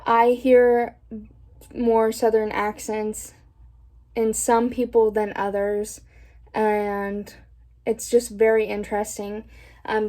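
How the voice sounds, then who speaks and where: A young woman from Indiana, a college student, talking through a prepared vlog segment in a steady, thoughtful way. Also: medium pitch. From Indiana, USA. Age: young adult. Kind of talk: scripted vlog talk.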